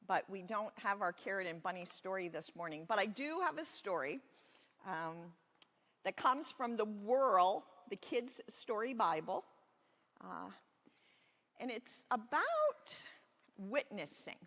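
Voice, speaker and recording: A woman pastor telling a Bible story to children in a gentle, expressive storytelling way with deliberate emphasis. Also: medium pitch. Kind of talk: children's sermon, reading a Bible story aloud. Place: large reverberant church space. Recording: echoey hall with long reverb.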